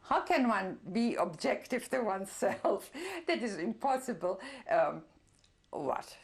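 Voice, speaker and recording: An older woman whose first language is German, giving a reflective oral history testimony with many pauses and soft laughs. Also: medium pitch, sing-song, breathy edge. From Czernowitz, Bukovina. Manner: reflective, pausing often with fillers, soft laughs. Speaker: interviewee, Holocaust survivor.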